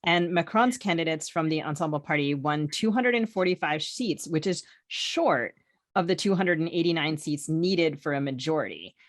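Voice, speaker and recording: Low-pitched woman hosting a podcast. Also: lively intonation, moderate pace, no room sound, studio quality. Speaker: podcast co-host.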